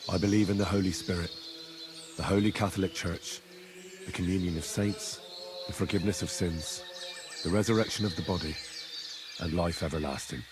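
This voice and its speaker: An actor playing a devout medieval man, slowly reciting a prayer in a measured, reverent way. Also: low voice, close to an everyday voice. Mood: solemn. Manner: measured, reverent, steady chanting. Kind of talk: slow solemn prayer recitation. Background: game music.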